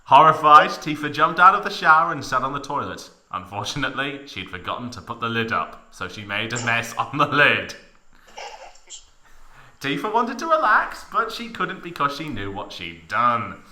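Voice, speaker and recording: A man's voice: medium pitch, moderate pace. A man hosting a mock-dramatic story reading, narrating theatrically and breaking off for joking asides. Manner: theatrical, animated. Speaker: host, story reader. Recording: echoey room.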